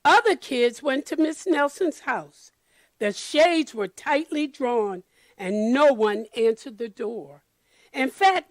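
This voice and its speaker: Female storyteller for children's picture book read-aloud: high register, unhurried and expressive, dry sound. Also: no room sound. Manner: deliberate, addresses children and explains pictures.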